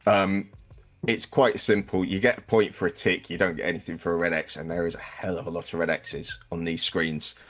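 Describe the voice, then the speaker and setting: A man's voice: low-pitched, flat delivery, fairly quick, fairly bright tone. A man hosting a sports podcast, talking casually and wryly as he explains season results, with some swearing. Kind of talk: casual football podcast review.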